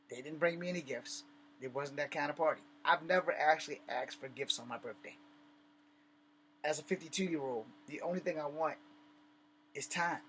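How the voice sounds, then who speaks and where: A man's voice: fairly high pitch, fairly bright tone, a little hiss or hum, poor audio. Blunt, bitter man in his fifties. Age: 50s. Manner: blunt, bitter, profane, emphatic repetition. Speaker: host. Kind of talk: personal vlog monologue.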